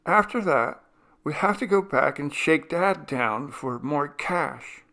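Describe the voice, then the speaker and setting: A careful, practical teenage girl, as a man reads her in an audiobook story. The delivery is matter-of-fact and measured, with the pitch lifted somewhat above the narrator's own. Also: lively intonation, creaky, pushed and bright, exaggerated voice. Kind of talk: storybook character voice.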